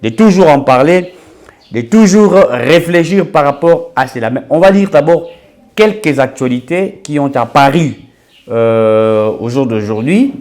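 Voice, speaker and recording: A man's voice: on the high side, animated, a little slow, darkish tone. A man with a Congolese French accent hosts an online news show, reading headlines and commenting with frequent fillers and restarts. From Democratic Republic of Congo. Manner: mixes French with Lingala, hesitant fillers and restarts.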